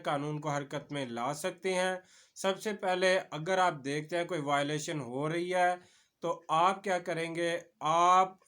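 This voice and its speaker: A man's voice: a little high, fairly bright tone. A man with a Pakistani accent giving an informal explanatory lecture as a lawyer, addressing the viewer directly and mixing in English legal terms.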